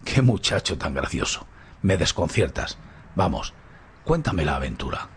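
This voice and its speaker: A man's voice: very deep voice, big pitch swings, unhurried, slight background noise, pitched below the performer's own voice, heavily acted. A man playing an amused, straightforward police inspector in a radio drama, with a direct, questioning manner.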